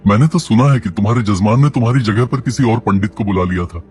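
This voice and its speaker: A man's voice: on the low side, fast talker, a little mellow, natural voice. A man voicing a gossipy village shepherd in a cartoon who mocks two friends. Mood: teasing. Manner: teasing, sly. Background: faint music.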